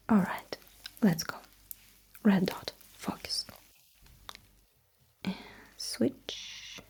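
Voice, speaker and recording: A woman whispering in an ASMR roleplay as a technician, giving gentle, slow instructions in short phrases with a breathy, mostly unvoiced voice. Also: mid-range voice, a little hiss or hum. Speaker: ASMR performer role-playing a sci-fi technician running calibration tests.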